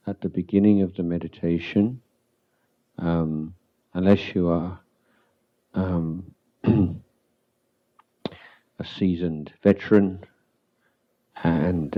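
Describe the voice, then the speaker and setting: Very deep-voiced man guiding meditation slowly. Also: lo-fi sound. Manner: calm, unhurried, halting with long pauses. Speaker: Buddhist monk, meditation teacher. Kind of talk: guided meditation instruction.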